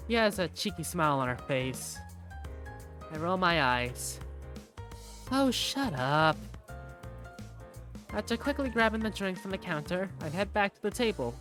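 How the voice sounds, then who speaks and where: A woman's voice: lowish voice, a bit bright. A woman voicing an easygoing young male bar waiter who narrates his day in a visual novel fan dub.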